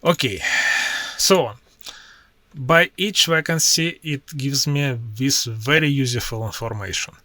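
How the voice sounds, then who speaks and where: Non-native male tech presenter, Russian accent, halting delivery with frequent pauses, crisp bright voice. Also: medium pitch, dry recording. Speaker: IT professional demoing his workflow. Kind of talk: screen-share tutorial walkthrough.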